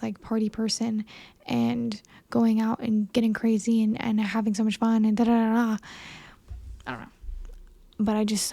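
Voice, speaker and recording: A woman's voice: a little high, gravelly, a little mellow, dry recording. A woman in her early twenties giving a candid, earnest and self-conscious monologue to camera in a casual conversational way. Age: early 20s. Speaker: vlogger, young filmmaker.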